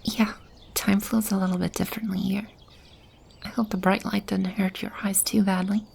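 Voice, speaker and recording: A woman playing a gentle, protective demon in an ASMR roleplay, speaking softly and soothingly with a breathy, whispery delivery. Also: medium pitch, flat delivery, a little hiss or hum. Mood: warm, confiding. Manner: soft, unhurried, soothing reassurance. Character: gentle protective female demon sheltering a lost child. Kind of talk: ASMR roleplay, soft-spoken with whispery passages. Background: ambient sound effects.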